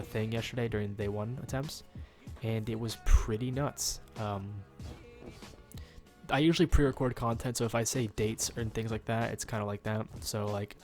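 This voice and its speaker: A young man with a nasal, stuffed-up voice giving rambling, casual commentary while he plays a game at home, full of ums and uhs. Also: fairly low pitch. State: nasal from a cold. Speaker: gaming YouTuber.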